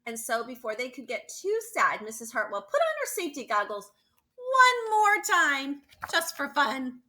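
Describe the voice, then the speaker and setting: Bright-voiced woman teacher reading a picture book aloud. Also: high voice, normal speed. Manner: animated storytelling with emphatic stresses and playful questions to listeners. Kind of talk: expressive picture-book read-aloud with personal asides.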